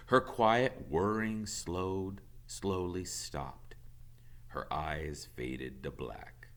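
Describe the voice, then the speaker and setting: A man reading a children's novel aloud, expressive and dropping to soft whispers in quiet moments. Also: low voice.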